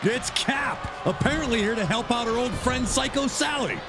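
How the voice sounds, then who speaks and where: A man's voice: extremely high pitch, animated, normal speed, noisy background. A man playing an opinionated, needling colour commentator in video game wrestling commentary. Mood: excited. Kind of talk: sports commentary. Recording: loud arena music behind.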